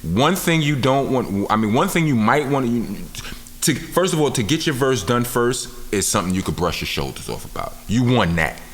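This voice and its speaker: A man's voice: sing-song, normal speed, in a large hall. A man, a rapper telling stories in a casual studio interview. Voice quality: deep voice. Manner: laid-back, storytelling, repeats "you understand what I'm saying". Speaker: rapper, guest. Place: recording studio.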